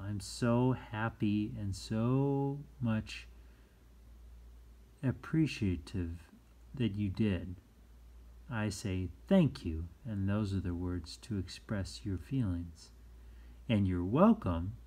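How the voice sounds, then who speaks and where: A man who teaches, reading a children's book aloud and explaining it gently, acting out phrases expressively. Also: on the low side. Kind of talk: children's read-aloud with commentary.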